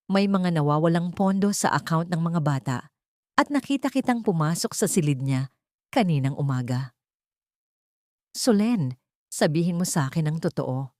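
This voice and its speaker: A woman's voice: fairly low pitch, darkish tone, dry recording, studio quality, close to an everyday voice. A woman voicing a cold, suspicious wealthy male employer in an audiobook drama, accusing and hurt. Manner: accusing, interrogating.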